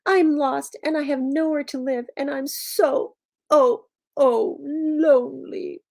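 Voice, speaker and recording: A woman voicing a lonely little lost dragon from a storybook, weepy and wailing in drawn-out words. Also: high voice, fairly even intonation, gravelly, a little mellow, voice pushed up, heavily acted. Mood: woeful.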